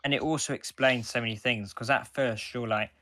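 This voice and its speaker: A man's voice: mid-range voice, fairly even intonation, pushed and bright. A man co-hosting a podcast, chatting enthusiastically as a fan and often doubling back on his words. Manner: enthusiastic, halting with repeated words. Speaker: podcast co-host. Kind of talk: casual fan discussion.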